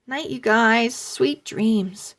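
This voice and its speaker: A woman's voice: on the high side, very slow, pitched above the performer's own voice, full character voice. A woman voicing a bossy older girl speaking in a firm, emphatic way. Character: bossy older sister, spooky storyteller.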